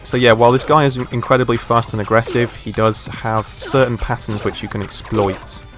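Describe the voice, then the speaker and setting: A man with a croaky voice from a sore throat, casually commenting while he plays a game and thinks aloud. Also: medium pitch, steady tone, normal speed, over music. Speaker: gameplay commentator. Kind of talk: casual let's play commentary.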